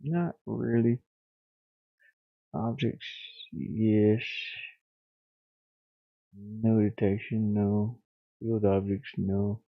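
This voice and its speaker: A man thinking aloud on a casual livestream as he works, muttering in a halting, absent-minded way. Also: fairly low pitch, steady tone. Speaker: livestreamer, hobby game developer. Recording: boxy echo, thin phone-like sound.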